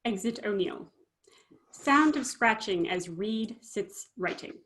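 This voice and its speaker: A woman's voice: medium pitch, expressive, slow pace, fairly bright tone, a little hiss or hum, poor audio. A woman reading out stage directions as scripted narration for a dramatic play reading.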